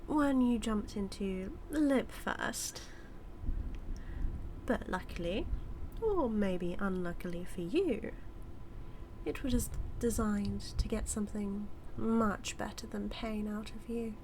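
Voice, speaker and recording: A woman's voice: mid-range voice, takes it slowly, darkish tone, a little hiss or hum. A British woman playing a smug, scheming supervillain in an audio roleplay, taunting her nemesis with a theatrical, drawling delivery that dips toward whispers. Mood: smug, teasing. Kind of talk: scripted villain monologue roleplay, spoken to the listener.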